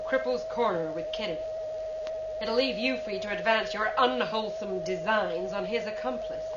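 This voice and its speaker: A woman's voice: medium pitch, normal speed, highs cut off, heavy background noise, lightly acted. An actress playing a brusque, sardonic female starship captain in a radio drama, dry and commanding. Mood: dry, dismissive. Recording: old radio drama with loud music.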